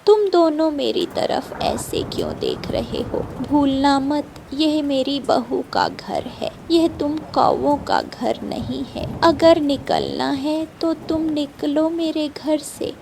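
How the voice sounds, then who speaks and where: A woman voicing a stubborn, scornful old mother-in-law bird with a creaky elderly voice in a cartoon. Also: sits high, sing-song, slow pace, few pauses, darkish tone, a little hiss or hum, heavily acted. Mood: indignant.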